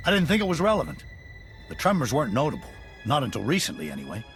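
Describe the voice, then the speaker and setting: A troubled, dignified leader of a resistance in a video game cutscene. He is formal and emphatic, in a mid-pitched, very expressive voice with faint music underneath. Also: quick pace. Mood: troubled, defensive.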